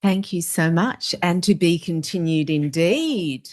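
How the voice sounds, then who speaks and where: Brief: Australian woman, seminar chair, low voice, brisk friendly housekeeping. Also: expressive, darkish tone. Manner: friendly, organising, quick asides. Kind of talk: chairing a Q&A session. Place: seminar room.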